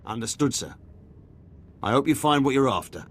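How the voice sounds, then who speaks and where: An actor playing a loyal, defensive army captain in a video game, with a clipped military manner. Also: male voice, medium pitch, animated, moderate pace, fairly bright tone, a little hiss or hum, natural voice. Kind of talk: dramatic video game voice acting.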